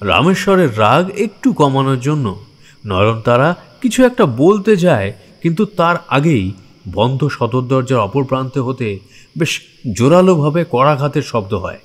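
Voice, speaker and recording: Casting: male narrator for horror audio stories, medium pitch, dramatic and suspenseful delivery. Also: sing-song, a little slow. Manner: theatrical, suspenseful.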